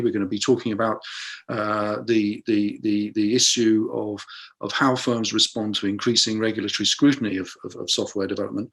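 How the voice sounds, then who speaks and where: A man's voice: mid-range voice, fairly bright tone. A man hosting a live online interview over a video call, introducing his guest with frequent hesitations and restarts. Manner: hesitant, many fillers and restarts. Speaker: interview host.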